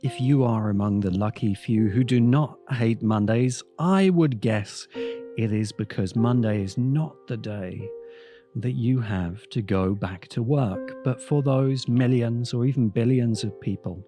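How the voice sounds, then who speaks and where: A man delivering essay-like commentary as a podcast host, measured and deliberate, pausing dramatically in the middle of sentences. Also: mid-range voice, warm tone, faint music behind. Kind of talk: essay-like scripted commentary on science fiction.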